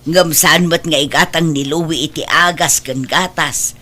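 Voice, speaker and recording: A woman's voice: low voice, unhurried, bright, forceful tone, heavily acted. A woman playing a concerned, meddling middle-aged aunt in an Ilocano audio drama, fretful and chiding, with an Ilocano accent.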